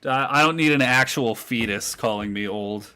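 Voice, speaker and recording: A man in his twenties with a relatively deep voice, hosting a casual chat podcast and teasing his co-hosts with frequent laughter. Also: normal speed, sharp tone, smooth. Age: 20s. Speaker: podcast host.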